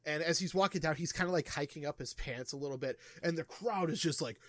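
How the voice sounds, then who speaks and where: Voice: medium pitch, fast talker, dry recording, studio quality. A game master narrating a tabletop wrestling game, rambling with lots of fillers. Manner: lively, rambling with many fillers.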